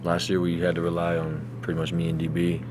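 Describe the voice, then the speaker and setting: Young man with a deep, flat delivery. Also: fast. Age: 20s. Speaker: college basketball player. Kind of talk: casual postgame press conference answers. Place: indoor press conference room. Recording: noisy room with low hum.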